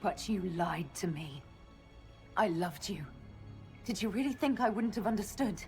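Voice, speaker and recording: A woman's voice: medium pitch, lively intonation, measured pace, a little mellow. An actress playing a female elven hero in a video game, a wounded lover who questions earnestly and directly. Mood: hurt, pleading. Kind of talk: dramatic video game dialogue. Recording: music behind the voice. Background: music.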